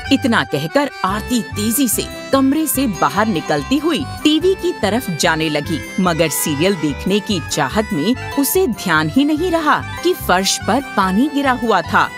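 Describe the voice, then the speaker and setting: A woman reads a moral tale as narrator in an expressive, scripted style. Her intonation is lively and her pace is slow, with music under the voice. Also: medium pitch.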